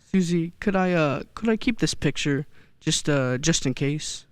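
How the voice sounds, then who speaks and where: An actor playing a kindly old Santa figure in a radio play, gentle and hesitant, often repeating words. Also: mid-range voice, lively intonation, measured pace, smooth, no echo, close to an everyday voice. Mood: curious, tender. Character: kindly old man who believes he is Santa. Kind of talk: stage-style radio play acting.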